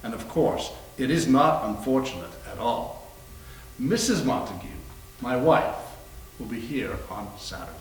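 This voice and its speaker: An echoing, slightly distant voice with lively pitch, a man playing a grave doctor in readers theater. He speaks formally and haltingly, as though choosing his words. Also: lightly acted. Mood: awkward. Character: grave, hesitant male doctor. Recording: echoey room, distant mic, faint noise.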